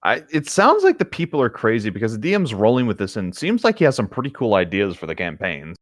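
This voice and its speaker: A man with a fairly low-pitched voice, co-hosting a show of online stories. He reads and comments in an easy conversational style, like an informal chat. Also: wildly swooping pitch, quick pace, no echo, studio quality. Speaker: co-host reading online stories. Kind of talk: casual chat and story reading.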